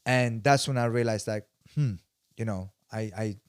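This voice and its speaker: A man's voice: mid-range voice, normal speed, smooth, no echo, clean studio sound. A man in his forties from Sweden, a martial arts instructor telling his story as a podcast guest in emphatic bursts, often restarting his sentences. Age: 40s. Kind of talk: casual interview storytelling.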